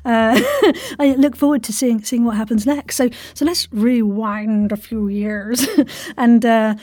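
A woman's voice: fairly high pitch, expressive, takes it slowly, a little mellow, no room sound, studio quality. A woman hosting a casual interview podcast in a playful, effusive way with quirky slang.

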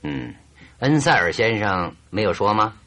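An actor playing a composed, inquisitive private detective in a radio drama, asking probing, measured questions with wry interjections. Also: male voice, on the low side, sing-song, bright, forceful tone, heavily acted.

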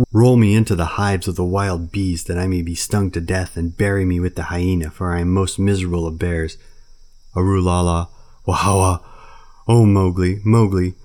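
A man's voice: low voice, a little mellow, natural voice. A man voicing a clumsy old male bear who wails and laments over a lost cub. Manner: wailing, lamenting.

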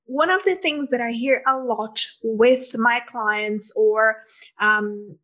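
A woman's voice: a little high, takes it slowly, a bit bright. A woman hosting a podcast, introducing her guest and asking questions in an enthusiastic way. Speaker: podcast host. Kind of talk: podcast interview.

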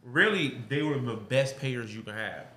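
A man chatting casually on a podcast, telling animated stories and laughing often. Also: medium pitch, normal speed, a little hiss or hum. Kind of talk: casual chat. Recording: echoey room, faint ambience.

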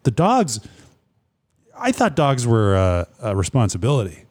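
A man's voice: mid-range voice, huge pitch swings, a little slow, a little mellow. A man in his thirties, phlegmy from a cold, telling a rambling profane story in casual chat. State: phlegmy, getting over a cold.

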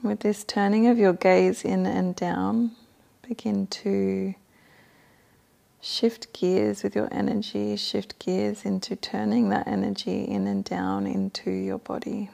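A woman leading a guided meditation with gentle, soothing instructions. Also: medium pitch, takes it slowly, creaky.

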